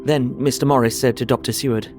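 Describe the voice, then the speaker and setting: Guy playing an earnest devoted husband reading his journal, middle pitch, measured, audio drama with faint music. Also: fairly even intonation, lightly acted. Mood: earnest, reflective.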